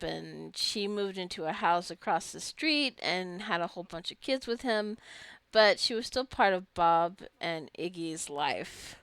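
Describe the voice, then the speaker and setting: Female gamer, slightly low voice, chatty and rambling, musing aloud through a casual playthrough. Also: fairly bright tone, smooth, no echo. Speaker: gamer narrating own gameplay. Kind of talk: casual let's play commentary.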